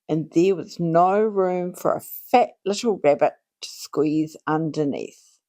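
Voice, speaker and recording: A woman reading a children's storybook aloud in an expressive, deliberate way, drawing out her phrases for young listeners. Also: fairly low pitch. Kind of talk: read-aloud storybook narration.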